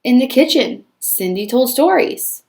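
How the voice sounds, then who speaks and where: Storybook stepmother, read by a woman: snobbish, self-pitying, theatrically put-upon, high-pitched. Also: animated, a little slow, gravelly, darkish tone, full character voice. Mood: exasperated.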